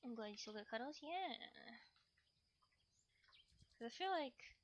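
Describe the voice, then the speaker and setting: A woman's voice: sits high, animated, a little slow, highs cut off. A woman chatting casually and thinking aloud as she rambles through household chores. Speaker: streamer hosting a live chores stream. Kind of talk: casual rambling chat while doing chores. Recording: clearly noisy room with household ambience.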